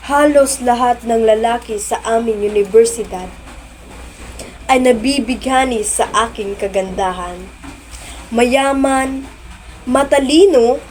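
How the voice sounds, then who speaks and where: A woman with a Filipino accent playing a vain, wealthy young woman torn over love, in a theatrical monologue with deliberate dramatic pauses. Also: on the high side, darkish tone, slight background noise, rough recording. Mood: proud, self-admiring. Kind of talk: theatrical student monologue in Tagalog.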